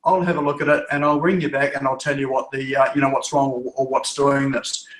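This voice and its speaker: A man's voice: medium pitch, flat delivery, a little fast, fairly bright tone, reverberant, rough recording. A man from Norfolk Island with a New Zealand accent, a web developer answering interview questions in a chatty, rambling way with frequent restarts. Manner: chatty, restarts and repeats words.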